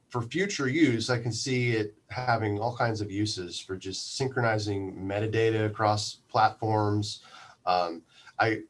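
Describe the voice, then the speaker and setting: A man giving a casual interview as a guest from a community radio station, speaking haltingly as he thinks aloud. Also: lowish voice.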